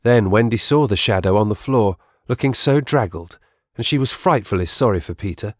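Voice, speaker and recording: A man's voice: on the low side, normal speed, no echo, clean studio sound. A man reading scripted storybook narration as the narrator of an audiobook.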